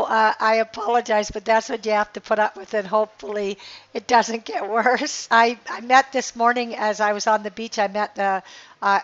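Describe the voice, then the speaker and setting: Hoarse older woman, muffled motivational podcast. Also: medium pitch. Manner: earnest, rambling, inspirational, with restarts. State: slightly hoarse, losing her voice. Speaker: podcast host, life coach. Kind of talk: casual motivational monologue. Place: outdoors by the ocean.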